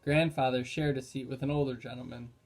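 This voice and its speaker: A man's voice: mid-range voice, steady tone, fairly quick, darkish tone. A man narrating a children's chapter book in a read-aloud style.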